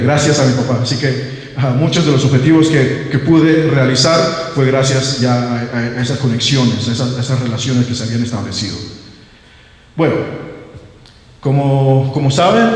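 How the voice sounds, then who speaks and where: A man's voice: medium pitch, a little mellow. A young man from Panama, a native English speaker who talks in Spanish, gives a hesitant academic seminar talk as a linguist, with many fillers and restarts. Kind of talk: academic presentation. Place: seminar room. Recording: echoey hall with long reverb.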